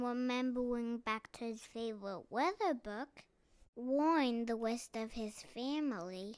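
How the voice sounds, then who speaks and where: A child reading a children's picture book aloud with deliberate, dramatic emphasis. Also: sits high, fairly bright tone, clean tone, dry recording. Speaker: storybook reader.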